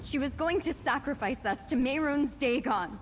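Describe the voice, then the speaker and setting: A woman's voice: sits high, moderate pace, lightly acted. An actress playing a grave, level-headed young woman in a fantasy video game. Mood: alarmed. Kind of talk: dramatic video game acting. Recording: long reverb, noisy horse-hoof ambience.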